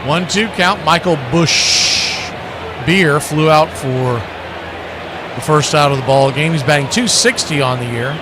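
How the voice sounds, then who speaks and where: A fairly high-voiced man gives live radio baseball commentary. He is folksy and conversational, slipping casual asides between pitches. There is clear background noise behind him. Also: a little slow. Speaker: baseball play-by-play announcer. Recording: noisy ambience behind the voice.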